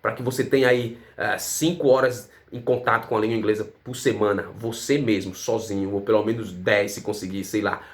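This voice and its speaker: A Brazilian man talks through a casual online lesson, throwing rhetorical questions at the viewer. He tags his sentences with a quick "tá?" and keeps an informal teaching tone. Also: on the low side, steady tone. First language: Portuguese. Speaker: language teacher.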